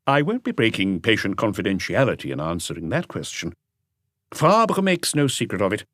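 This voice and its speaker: A man's voice: lowish voice, very animated, moderate pace, a bit bright, no echo, clean studio sound. A man voicing an earnest, talkative doctor who explains things with assurance in an audiobook mystery. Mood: frank, explanatory. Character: earnest male doctor, talkative and conscientious family friend.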